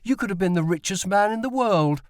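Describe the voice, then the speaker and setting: A man's voice: high voice, expressive, a little fast, no room sound, studio quality, pitched above the performer's own voice. A man voicing an earnest, indignant young boy in an audiobook, plaintive and protesting. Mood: puzzled.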